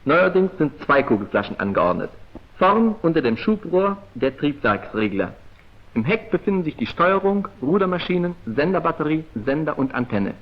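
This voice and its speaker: A male narrator from a 1940s training film, heard through a dull, muffled vintage soundtrack. His pitch sits fairly high and moves in lively swings, with a husky edge. He speaks in a formal, precise and clipped declamatory manner. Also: normal speed.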